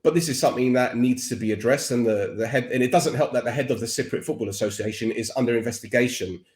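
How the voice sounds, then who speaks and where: A man's voice: medium pitch, quick pace, a little mellow, roomy sound. A man with a Cockney accent, a podcaster talking as a guest expert in a casual, rambling interview chat. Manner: chatty, rambling with restarts.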